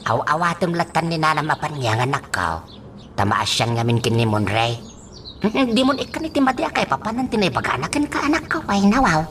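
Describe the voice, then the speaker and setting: A man's voice: fairly high pitch, very animated, fairly quick, a bit bright, slight background noise. A man playing a soothing, sighing old father in an Ilocano radio drama, given to groaning interjections. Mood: fretful, consoling.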